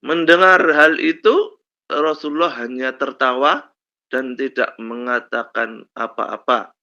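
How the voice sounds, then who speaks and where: A male lecturer with a slight cold, telling stories slowly with many fillers in a lesson on Islamic law. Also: mid-range voice, pushed and bright. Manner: slow storytelling with many fillers and "kan begitu ya". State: has a cold, coughs. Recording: dull lo-fi recording.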